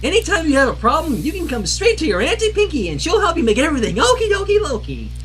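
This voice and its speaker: A man's voice: extremely high pitch, huge pitch swings, quick pace, a crowd in the background, voice pushed up, full character voice. A man voicing a bubbly, eager young female pony baker who is hyperactively cheerful, in a cartoon fan story. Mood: cheerful, motherly.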